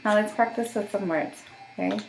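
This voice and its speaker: A woman's voice: medium pitch, a little fast, roomy sound, a little hiss or hum, low-quality recording. A woman working as a voice therapist, giving patient step-by-step instructions for voice exercises and checking in often. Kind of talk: guided voice therapy session.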